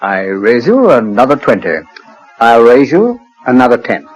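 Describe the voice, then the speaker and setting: Casting: breezy gambler at sea, husky, swooping intonation, vintage radio acting. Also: male voice, mid-range voice, sing-song, moderate pace, low-quality recording. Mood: breezy, eager. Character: jaunty male card-playing passenger. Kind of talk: 1940s British radio acting. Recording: old radio recording, music behind.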